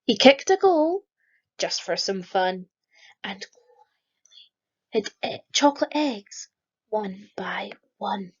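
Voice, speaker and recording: A woman reading a rhyming children's picture book aloud in a lively, sing-song storytelling manner. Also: mid-range voice, slow pace, long pauses, slightly rough, lo-fi sound. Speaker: storybook reader.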